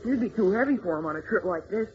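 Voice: mid-range voice, lively intonation, fast, a little mellow, natural voice. An actor playing a brave, eager twelve-year-old village boy in an old radio serial, earnest and determined, on a muffled noisy recording. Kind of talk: 1940s radio serial acting.

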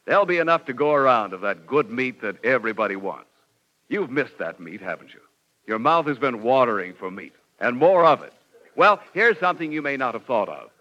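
A man's voice: medium pitch, big pitch swings, brisk, pushed and bright. A man with an American accent announcing and reading sponsor copy in 1940s radio style. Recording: muffled 1940s radio recording with music.

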